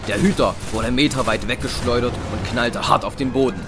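A man's voice: mid-range voice, a little fast, music in the background. A man narrating a German science fiction audio drama in a dramatic, scripted storytelling style.

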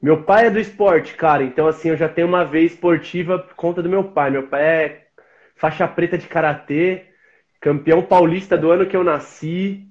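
A man in his forties with a São Paulo Brazilian accent, talking in a laid-back, chummy, slang-heavy way. His voice sits a little high for a man. The sound is dull and lo-fi, like a video call. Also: moderate pace. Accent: São Paulo Brazilian Portuguese. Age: 40s. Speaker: interview guest. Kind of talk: casual live-stream interview.

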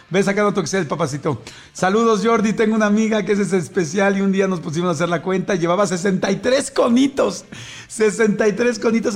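Jokey high-voiced Mexican radio host. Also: male voice. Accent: Mexican Spanish. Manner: jokey, laughs often, rattles off messages fast. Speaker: radio show host reading listener messages. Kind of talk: casual morning radio banter.

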